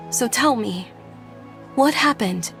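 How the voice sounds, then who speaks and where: A woman playing a gentle, perceptive young noblewoman in an anime video game, speaking in a polite, measured and considerate manner. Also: a little high, lively intonation, creaky, over music, full character voice. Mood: concerned.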